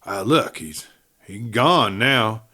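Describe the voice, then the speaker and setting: A man's voice: fairly low pitch, lively intonation, takes it slowly, sharp tone, exaggerated voice. A man voicing wary male onlookers in a Western audiobook, with folksy, rustic speech. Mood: solemn, doubting. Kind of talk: audiobook character voice.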